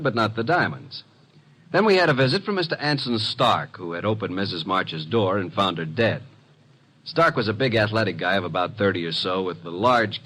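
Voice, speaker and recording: An actor playing a wry, breezy, wisecracking detective's assistant in old radio drama, both in dialogue and as narrator. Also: male voice, lowish voice, a bit bright, low-quality recording. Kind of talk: 1940s-50s radio detective acting and narration. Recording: slightly hissy old radio recording.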